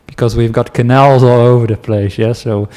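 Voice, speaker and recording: A man from the Netherlands with a Dutch accent, a meditation teacher giving a relaxed talk to a class, telling stories with asides and small laughs. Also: medium pitch, moderate pace. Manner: gentle, chatty storytelling with asides and small laughs.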